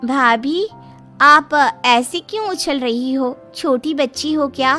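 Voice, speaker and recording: A woman's voice: high voice, takes it slowly, pushed and bright, soft background music, heavily acted. A woman voicing a cheeky, grabby young sister-in-law in a cartoon story, gushing and girlish. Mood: teasing. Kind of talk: animated cartoon story dialogue.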